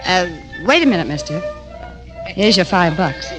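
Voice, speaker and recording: A woman's voice: fairly low pitch, big pitch swings, unhurried. An actress playing a brash, friendly bar girl with a folksy, slangy drawl in 1950s radio drama. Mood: brash, casual. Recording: old radio drama, music behind.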